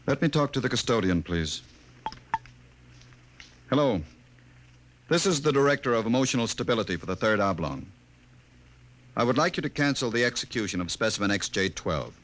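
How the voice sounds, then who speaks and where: A middle-aged man acting in a 1950s radio drama as a smooth, calculating government director, speaking formally and with measured authority. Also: mid-range voice, sing-song, fairly bright tone. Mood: cold, commanding.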